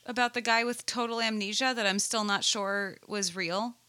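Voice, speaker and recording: A woman's voice: mid-range voice, fairly even intonation, normal speed, pushed and bright. A woman in casual group conversation, with a wry, dry-humoured way of talking. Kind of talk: casual chat.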